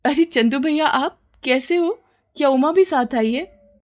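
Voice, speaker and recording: A woman's voice: high voice, unhurried, a little mellow, voice pushed up. A woman playing a poor, humble village mother with a plaintive, deferential way of talking in a story. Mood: warm surprise.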